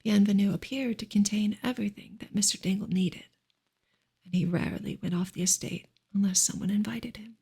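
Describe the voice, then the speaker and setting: A woman reading a novel aloud in a soft, hushed, breathy ASMR style, often slipping into a whisper. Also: medium pitch, steady tone, a little fast. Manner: hushed, gentle delivery.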